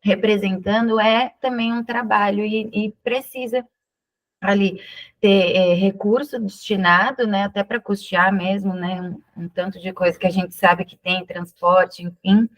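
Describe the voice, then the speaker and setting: A woman's voice: medium pitch, fairly even intonation, unhurried. A woman answering questions on a video call, explaining with many hesitations.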